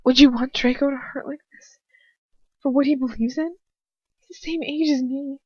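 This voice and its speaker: Woman acting desperate, high-voiced pleading young captive. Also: fairly even intonation, normal speed, warm tone, no echo, close to an everyday voice. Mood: desperate appeal. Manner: tremulous, earnest pleading. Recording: dull lo-fi home recording.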